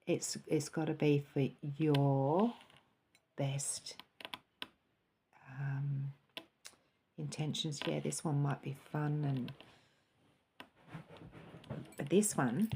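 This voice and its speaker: A woman giving a spiritual tarot and cup reading in a soft, musing way, sometimes dropping into a quiet, calm voice. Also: sits low, extremely slow, long pauses, slight background noise.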